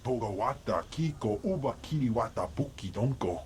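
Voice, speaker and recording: A man's voice: mid-range voice, animated, normal speed, darkish tone, a little hiss or hum, lightly acted. A man acting a brusque alien who speaks an invented tongue in a video game.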